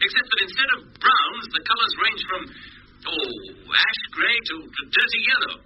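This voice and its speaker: An actor playing a decisive, commanding yet reassuring spaceship captain in a 1950s radio drama. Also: male voice, high-pitched, normal speed, sharp tone. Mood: awed, excited. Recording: dull, noisy 1950s radio recording.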